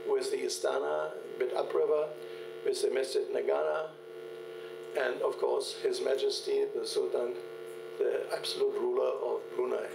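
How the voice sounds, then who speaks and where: An older man giving a keynote lecture from a podium, telling field anecdotes in a halting way with many fillers and restarts. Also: mid-range voice, creaky. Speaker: keynote speaker. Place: large lecture hall at a podium. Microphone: podium microphone, recorded from the hall. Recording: echoey hall, distant, mains hum.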